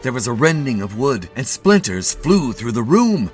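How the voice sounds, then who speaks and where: A man giving an expressive, theatrical reading of a literary short story as its narrator. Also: mid-range voice, normal speed, soft background music.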